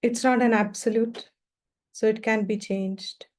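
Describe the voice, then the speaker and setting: A woman guiding a meditation as a teacher, speaking gently and without hurry in short, spaced phrases. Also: fairly high pitch, steady tone. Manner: gentle, unhurried, pausing between short phrases. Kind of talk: guided meditation instruction.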